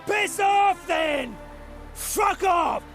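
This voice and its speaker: Casting: cuckolded fantasy dwarf, coarse ranter, creaky and strikingly high for a man, game dialogue over music. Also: very slow, heavily acted. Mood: furious, ranting. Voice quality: gravelly. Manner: crude, sweary ranting. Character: furious drunken-sounding cuckolded male dwarf, crude and bellowing. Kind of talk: video game character acting. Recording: loud music behind.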